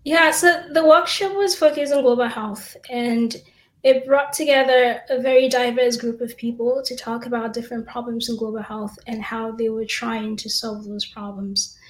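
A woman from Cameroon in her thirties answers interview questions in a steady, explanatory way. Her voice sits fairly high and stays level in pitch. The recording has a noticeable room echo. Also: normal speed. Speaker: assistant professor, guest.

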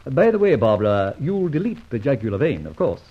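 An actor playing a suave, vengeful gentleman adventurer and ex-officer, speaking with theatrical, mocking irony in an old radio drama. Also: mid-range voice, big pitch swings, fairly quick, breathy edge. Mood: triumphant. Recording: muffled old radio recording.

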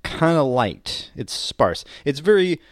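A man's voice: a little high, big pitch swings, takes it slowly, no echo, studio quality. A man co-hosting a podcast in casual comedic talk, explaining things in a wry, opinionated way. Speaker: podcast co-host.

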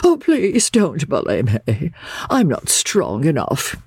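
A man voicing a languid, peevish gentleman invalid in an audiobook, with a fussy, affectedly fragile, sarcastic drawl. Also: fairly high pitch, huge pitch swings, measured pace, vocal fry, breathy edge, no echo, clean studio sound, pitched above the performer's own voice, heavily acted. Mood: piteous, languid. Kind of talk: dramatised audiobook character voice.

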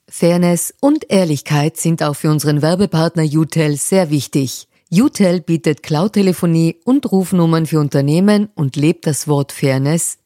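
A woman reading a scripted sponsor message for a podcast in a measured, explanatory way. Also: low-pitched, dark tone, dry recording, clean studio sound. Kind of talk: scripted ad read.

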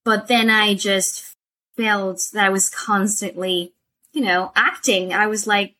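A woman from Spain, an English teacher, speaking English with a soft American accent as a guest in a casual interview, enthusiastic and animated. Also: mid-range voice, slow pace, a little hiss or hum. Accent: soft American English learned by a Spanish speaker. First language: Spanish.

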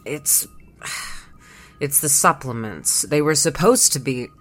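An actress playing a weary, scattered moon base worker who speaks haltingly and repeats words to herself, in an audio drama. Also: female voice, low voice, lively intonation, fairly bright tone, full character voice. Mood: distracted, strained.

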